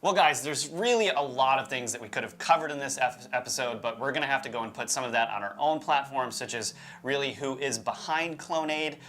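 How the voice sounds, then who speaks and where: A man's voice: mid-range voice, a little fast, hardly any pauses, a bit bright. A man co-hosting a UFO show with informal, opinionated conversational commentary. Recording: music behind the voice. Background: background music.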